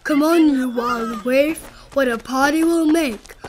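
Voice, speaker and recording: A woman voicing a boisterous storybook creature who loudly beckons friends along. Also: high voice, measured pace, slight background noise. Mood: gleeful, rowdy.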